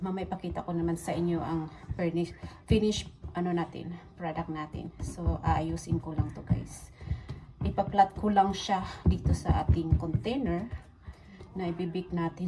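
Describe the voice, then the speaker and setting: A middle-aged woman with a Filipino accent, chatting casually to viewers as a home baking vlogger, mixing two languages as she goes. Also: on the low side. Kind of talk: casual chat while baking, Tagalog-English code-switching. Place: small home kitchen, indoors. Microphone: phone at arm's length or propped nearby. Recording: clearly noisy home kitchen recording.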